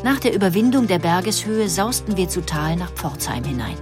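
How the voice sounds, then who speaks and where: A woman reading a woman's own first-person account of a long journey aloud, in measured storytelling. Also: fairly low pitch, big pitch swings. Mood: wistful. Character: woman recalling a long early motorcar journey. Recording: music bed behind voice.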